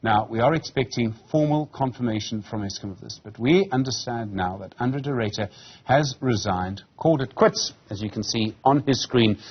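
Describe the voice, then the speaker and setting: A man in his fifties with a slightly husky voice, presenting and interviewing on live television news. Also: mid-range voice, highs cut off. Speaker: news anchor.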